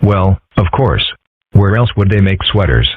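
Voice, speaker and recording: A man's voice: low voice, sing-song, unhurried, husky, full character voice. A man voicing a smug, brand-obsessed boy in a comic dub, breezy and self-satisfied. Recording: dry, tinny phone-like recording.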